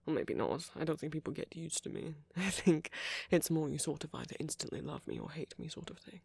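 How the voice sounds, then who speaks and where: A man performs a courteous, flirty male vampire in an intimate audio roleplay. His voice is husky and breathy with plenty of creak, recorded very dry. He speaks softly and rambles politely, apologetic and considerate while he charms. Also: fairly high pitch, huge pitch swings, a little fast, dry recording, exaggerated voice. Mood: playful, reassuring.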